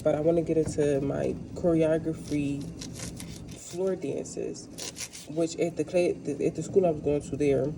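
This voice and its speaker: A woman's voice: low voice, steady tone, a little slow, gravelly. A woman from the United States vlogging in a casual, rambling storytelling way while she cooks, recorded without a dedicated microphone. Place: kitchen. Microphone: no dedicated microphone, built-in device mic. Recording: noisy kitchen room without a microphone.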